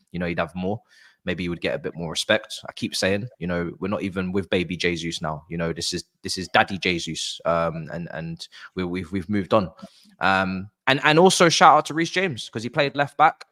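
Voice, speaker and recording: A man giving a casual football breakdown, rambling with fillers and restarts. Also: fairly low pitch. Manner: animated, rambling with filler words. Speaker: football pundit.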